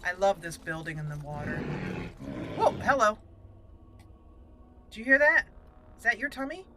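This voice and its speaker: A woman hosting a let's play, chatting casually about her gameplay and reacting excitedly to the action. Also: mid-range voice, moderate pace, music in the background. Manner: chatty, excitable reactions, talks to party members.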